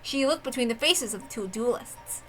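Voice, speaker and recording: A woman's voice: fairly high pitch, lively intonation, moderate pace, a bit bright, soft background music, low-quality recording. A woman reading a visual novel aloud as a dramatic narrator.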